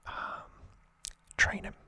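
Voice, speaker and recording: Casting: ASMR host, breathy whisper throughout, crisp bright hiss, gentle informal storytelling. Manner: gentle, unhurried, informal recapping.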